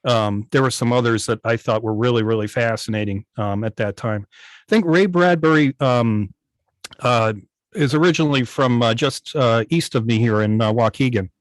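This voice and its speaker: A man of average pitch speaks as an interview guest. His answers are casual, measured and a bit hesitant. The sound is dry, with little room around it. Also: no echo. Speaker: guest, old-time radio station operator. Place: indoors on a video call.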